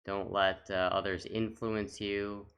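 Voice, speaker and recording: A man's voice: on the low side, little pitch movement, slow, fairly bright tone, clean tone. A man giving casual, off-the-cuff commentary as a gaming video host, talking in a rambling, reminiscing way.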